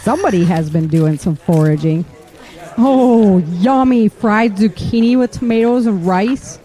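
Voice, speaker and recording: A woman's voice: mid-range voice, measured pace, warm tone, lightly acted. A woman playing a spirited wife and mother who is a survivor in an audio drama. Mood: cheerful.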